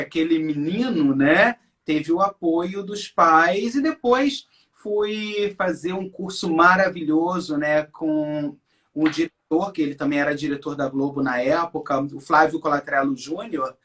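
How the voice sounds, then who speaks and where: A man's voice: on the high side, unhurried, a bit bright. Emphatic Brazilian man in his forties. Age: 40s. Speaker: interview guest, English teacher. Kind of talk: conversational interview, personal storytelling.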